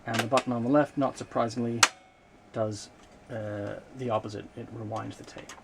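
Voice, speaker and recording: A man giving an unscripted hands-on demonstration of vintage equipment, explaining in a measured way with hesitant pauses mid-sentence. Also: mid-range voice, level tone, creaky. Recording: noticeable background noise, room ambience.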